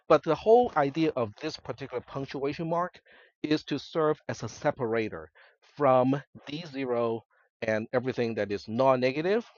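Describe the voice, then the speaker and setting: A man's voice: mid-range voice, no room sound, lo-fi sound. A man whose first language is Cantonese, giving a classroom lecture in a conversational way and often checking in with the students. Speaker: college instructor.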